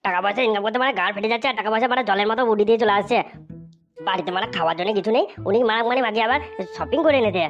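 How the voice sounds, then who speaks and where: A woman's voice: mid-range voice, racing, highs cut off, soft background music, lightly acted. A woman voicing a bullying, foul-mouthed husband in a comedy cartoon, ranting and threatening with a West Bengal accent. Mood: bullying, exasperated. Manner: ranting, threatening, repeats himself. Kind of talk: amateur cartoon comedy squabbling.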